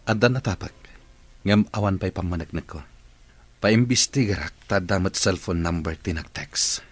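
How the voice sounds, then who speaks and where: Radio drama casting: a generous mansion boss, very low husky voice, steady and commanding. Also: male voice, normal speed, no echo. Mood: determined. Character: male mansion-owning boss, calm, generous and protective. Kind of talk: Ilocano radio drama acting.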